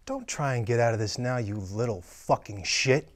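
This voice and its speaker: A man's voice: mid-range voice, normal speed, close to an everyday voice. A man voicing a menacing male killer who taunts his victim over the phone, sneering and cruel, in a script table reading. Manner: taunting, sneering, drops to a whisper. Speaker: table read actor.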